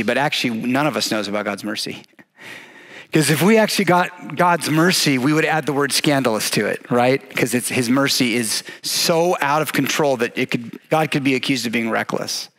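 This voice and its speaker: A man in his forties, a pastor preaching a sermon on a church stage to his congregation, animated and energetic, acting out the quotes he tells. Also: fairly high pitch.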